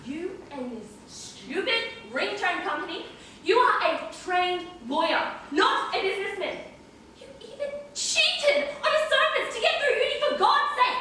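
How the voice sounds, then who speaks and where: Woman as melodramatic nagging young wife, shrill and exasperated, distant noisy stage recording. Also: bright, forceful tone, heavily acted. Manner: exasperated, histrionic. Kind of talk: amateur college stage play. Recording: echoey hall, far mic, background noise.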